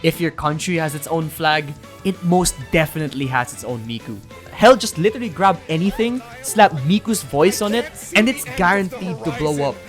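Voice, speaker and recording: Young adult video essayist, mid-to-high-pitched casual voice, animated and earnest with playful exaggeration, music underneath. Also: moderate pace, hardly any pauses. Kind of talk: scripted video essay narration with joking asides. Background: background music.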